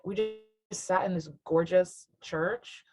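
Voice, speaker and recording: Chatty reflective woman, slightly low voice. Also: steady tone, slow, music in the background. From Southern US, near Nashville, Tennessee. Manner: reflective, rambling, many fillers, laughs often. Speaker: musician guest. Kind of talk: casual interview chat.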